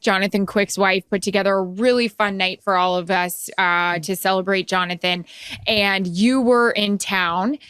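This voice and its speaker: A woman's voice: medium pitch, fairly bright tone, clear, resonant tone, dry recording, clean studio sound. A woman co-hosting a podcast, telling stories in casual, self-deprecating chat. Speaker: podcast co-host.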